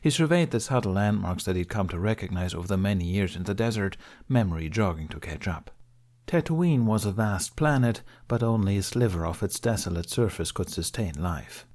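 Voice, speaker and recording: A man's voice: lowish voice, expressive, brisk, darkish tone. A man reading a scripted story aloud as an audiobook narrator. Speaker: audiobook reader. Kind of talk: scripted narration of fan fiction.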